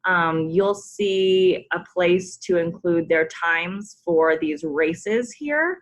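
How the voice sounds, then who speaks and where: A woman's voice: on the low side, extremely slow. Friendly woman walking coaches through forms. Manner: friendly, frequent ums, polite reminders. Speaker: sports program staff leading a coach conference call. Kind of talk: instructional briefing with screen walkthrough.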